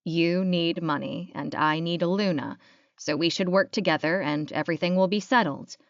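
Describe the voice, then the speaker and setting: Woman reading as a measured, businesslike werewolf alpha. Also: lowish voice, dry recording, dull audio, natural voice. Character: businesslike male werewolf alpha proposing deals.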